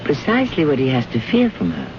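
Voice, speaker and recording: A man hosting and narrating an old-time radio mystery, with formal, deliberate, dramatic scripted delivery. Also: mid-range voice, huge pitch swings. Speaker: host and narrator. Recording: noisy, muffled old radio recording.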